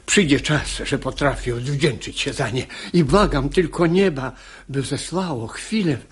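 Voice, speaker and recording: An actor playing a hot-tempered, wronged middle-aged master of the house in a verse radio play. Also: male voice, mid-range voice, unhurried, husky. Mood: grateful, hurried. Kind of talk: verse stage comedy performed as radio drama.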